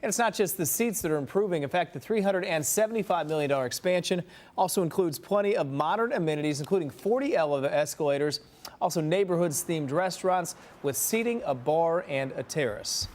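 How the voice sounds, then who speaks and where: A man's voice: on the high side, animated, fairly quick. An American man reading the news as a morning television anchor.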